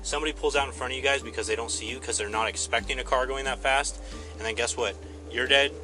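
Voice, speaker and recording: A man's voice: medium pitch, fairly even intonation, fast talker. A male police officer at a roadside, sternly lecturing detained drivers. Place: roadside, outdoors. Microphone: police bodycam. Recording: bodycam audio under music.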